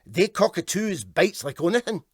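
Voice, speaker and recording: A man's voice: high-pitched, big pitch swings, moderate pace, slightly rough, dry recording, studio quality, voice pushed up, full character voice. A man voicing a sly, teasing Scottish deckhand in a comic story, full of mock reproach. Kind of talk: comic storybook character reading.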